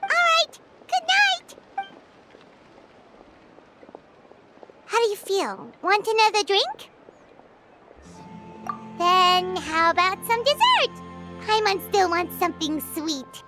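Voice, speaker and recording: Squeaky, excitable little fairy sidekick. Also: female voice, slow, long pauses, heavily acted. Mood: chirpy, hungry. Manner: speaks of herself in third person, exclamatory. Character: small excitable female fairy companion, childlike and gluttonous. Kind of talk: video game character acting. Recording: game music behind.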